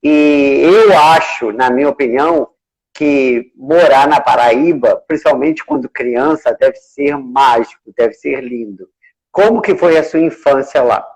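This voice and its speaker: A man's voice: mid-range voice, unhurried, a little mellow, poor audio. A man hosting a casual live chat, enthusiastic and full of hesitant restarts. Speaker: host.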